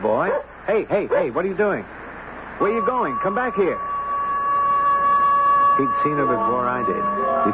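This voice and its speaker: An actor playing a curious, earnest man in an old radio drama, musing and hesitant as he recalls days on the beach with his dog. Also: mid-range voice. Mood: startled, calling out, then wistful narration. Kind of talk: old radio drama acting and first-person narration. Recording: noisy, dull old radio broadcast recording.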